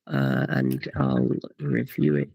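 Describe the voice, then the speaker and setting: A man's voice: rumbling low, monotone, takes it slowly, vocal fry, darkish tone, no room sound, clean studio sound. A man hosting a live karting coaching stream, chatty and full of fillers as he reads out comments. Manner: chatty, many fillers and restarts.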